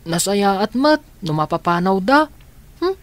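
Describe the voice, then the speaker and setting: A woman's voice: mid-range voice, sing-song, measured pace, no echo. A woman playing an anxious younger relative seeking help in a radio drama, worried and questioning.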